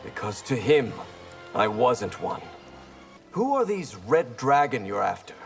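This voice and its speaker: A man's voice: mid-range voice, big pitch swings, measured pace, creaky, soft background music. An actor playing a playful, brotherly male ninja fighter in a video game, teasing and casual in manner. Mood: teasing, concerned.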